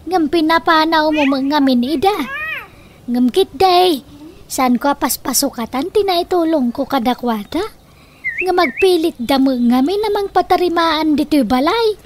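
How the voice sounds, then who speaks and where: A woman's voice: high-pitched, animated, measured pace, a little hiss or hum. An actress playing a modest, good-natured village woman in an Ilocano comedy radio drama, speaking in an earnest, conversational way. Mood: reflective.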